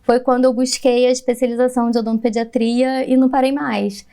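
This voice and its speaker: A woman's voice: on the high side, little pitch movement, brisk, warm tone, clear, resonant tone. A woman, a pediatric dentist explaining her work in a podcast interview, patiently and with frequent laughter.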